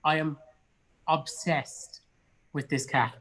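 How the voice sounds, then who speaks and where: An Irishman in relaxed, informal conversation, talking with enthusiasm about things he enjoys. His voice sits in an ordinary range, and he comes across as chatty, like a guest on an online show. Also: fairly high pitch, slow. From Cork, Ireland. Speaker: guest, actor and theatre producer. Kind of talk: casual online chat. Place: indoors in a studio.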